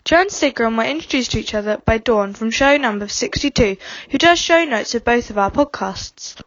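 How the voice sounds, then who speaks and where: Female co-presenter, high voice, scripted podcast intro, dry sound, slightly dull recording. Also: expressive, moderate pace, no room sound.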